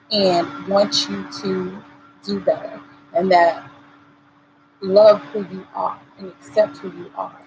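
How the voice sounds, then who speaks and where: A hoarse woman, fighting off a cold, talks earnestly to her listeners. She encourages them and repeats her main points for emphasis. The recording is made at home, with clear noise behind her. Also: mid-range voice, poor audio. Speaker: host. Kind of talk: motivational talk show monologue.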